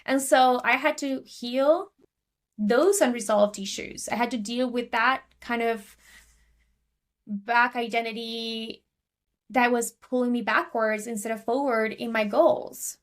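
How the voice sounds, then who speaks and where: A woman's voice: a little high, a little slow. Argentinian woman, chatty podcast guest. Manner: chatty, many fillers and restarts. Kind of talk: casual interview talk.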